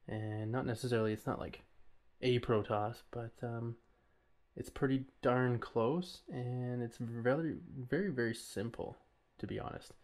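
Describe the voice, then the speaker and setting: A man's voice: mid-range voice, steady tone, a little slow, a little mellow. A man hosting an informal audio tutorial, talking through each step in a casual, somewhat hesitant way. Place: voice-over recorded while working at a computer.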